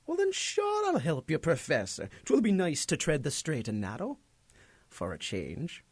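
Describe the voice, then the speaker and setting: A man's voice: on the high side, huge pitch swings, measured pace, slight background noise, heavily acted. A man playing a roguish reformed troublemaker in an audio drama, with a wry manner. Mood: wry agreement. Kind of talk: scripted audio drama acting.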